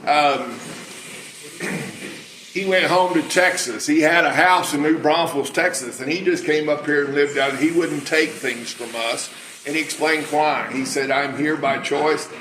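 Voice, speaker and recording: A man's voice: on the high side, fairly even intonation, a little slow, a bit bright. A man of about sixty with a preacher's big voice, telling anecdotes in an informal talk. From United States. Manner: anecdotal storytelling. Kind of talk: informal public talk and interview. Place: cafe, indoors. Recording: echoey room with clear background noise.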